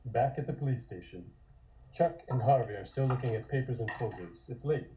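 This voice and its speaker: A man's voice: mid-range voice, fairly quick, dark tone, muffled recording, a little hiss or hum. A man reading scripted narration and stage directions.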